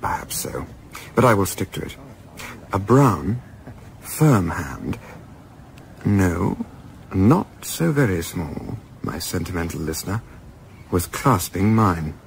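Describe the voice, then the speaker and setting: An actor playing a polite, well-spoken young English gentleman who narrates his adventure in a radio play, with an English accent. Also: sits low, big pitch swings, takes it slowly, creaky, long pauses, slight background noise, full character voice. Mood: reflective narration. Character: Carruthers, urbane young English gentleman, wry, diarist narrator. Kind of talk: radio play acting and first-person narration.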